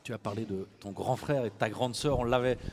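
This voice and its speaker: A man's voice: mid-range voice, fairly quick, a little hiss or hum. A man hosting a podcast who praises his guest and leads into the next question in an encouraging way. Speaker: podcast host. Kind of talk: podcast interview. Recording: long reverb on the voice.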